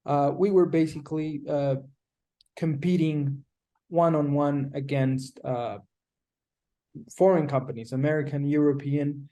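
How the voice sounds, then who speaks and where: Mellow-voiced Colombian man. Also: fairly high pitch, fairly even intonation. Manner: measured, explanatory, frequent restarts. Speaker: guest. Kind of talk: conversational interview answers.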